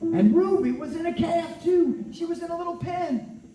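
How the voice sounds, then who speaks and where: A man's voice: very high-pitched, moderate pace, warm tone, pitched above the performer's own voice. A man playing a bubbly young farm boy. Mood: storytelling. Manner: bubbly, exclaiming, storybook telling. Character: cheerful young farm boy, eager friend. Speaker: farmer's son in a live storytelling show. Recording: roomy echo, distant mic, faint music.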